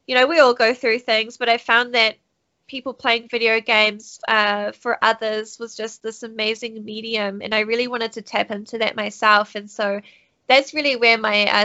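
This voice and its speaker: A woman's voice: fairly high pitch, sharp tone, muffled recording. A young woman from New Zealand with a New Zealand accent, chatting as a podcast guest, laughing often and restarting her sentences. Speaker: guest, studio CEO and streamer. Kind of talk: casual podcast interview.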